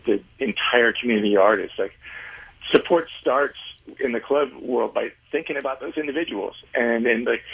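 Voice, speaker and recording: A man being interviewed as a guest on a radio show, explaining things earnestly in halting phrases with repeated words. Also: medium pitch, creaky, slightly rough. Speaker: interview guest, independent venue organizer. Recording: tinny phone-like line.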